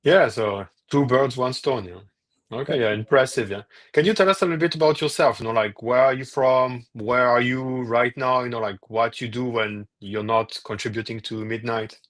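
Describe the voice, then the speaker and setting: Male host, mid pitch, informal and encouraging, a bit off-mic. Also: moderate pace, a bit bright. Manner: encouraging, informal questions with "you know, like". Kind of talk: casual interview.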